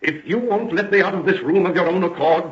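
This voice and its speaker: Casting: male actor as outraged older gentleman, fairly high voice, formal and declamatory, muffled radio-play recording. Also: a little fast, echoey room, a crowd in the background, close to an everyday voice. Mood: outraged loathing. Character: indignant, righteous older father, defiant under threat. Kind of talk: 1940s radio drama acting.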